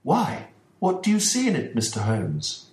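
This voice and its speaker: A man reading an audiobook voices a distraught, desperate middle-aged husband, pitched well above his own voice. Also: huge pitch swings, measured pace. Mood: worried. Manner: pleading, shouting. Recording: roomy echo.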